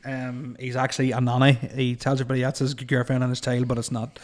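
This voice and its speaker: A man's voice: mid-range voice, monotone, fast talker. A man hosting a casual banter podcast, rambling and crude, laughing often. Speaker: podcast host.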